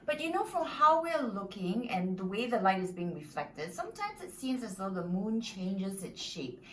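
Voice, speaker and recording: A woman's voice: medium pitch, sing-song, moderate pace. A woman presenting interactive storytelling for children in an encouraging, instructive way. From Singapore. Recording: echoey room, distant mic, faint noise.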